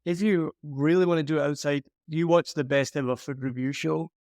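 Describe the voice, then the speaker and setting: A man's voice: fairly high pitch, steady tone, brisk, a little mellow, no echo, studio quality. A man giving a frank, advising critique of someone's podcast in a casual chat. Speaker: podcast consultant.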